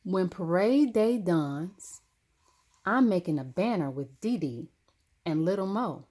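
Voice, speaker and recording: A woman narrating a children's story expressively, with dramatic, deliberate phrasing. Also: fairly low pitch, expressive, mellow. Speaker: storybook reader.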